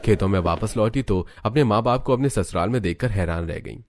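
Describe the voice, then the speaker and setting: A man's voice: on the low side, fairly quick, a little mellow, slight background noise. A man reading scripted narration for a family cartoon story.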